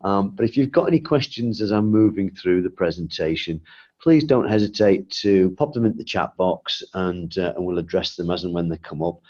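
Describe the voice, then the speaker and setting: Congested male presenter, deep-ish voice, explaining and posing questions to his audience, dull lo-fi web audio. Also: a little fast, darkish tone. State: congested from a cold. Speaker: guest presenter. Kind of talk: webinar presentation.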